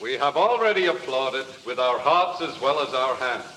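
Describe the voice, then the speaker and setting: An actor playing a formal, courtly master of ceremonies in an old radio drama, with stately oratory. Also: male voice, mid-range voice, animated, normal speed, pushed and bright, soft background music. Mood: ceremonious. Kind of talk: 1930s-40s radio drama, ceremonial speech. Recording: echoey, noisy old radio recording.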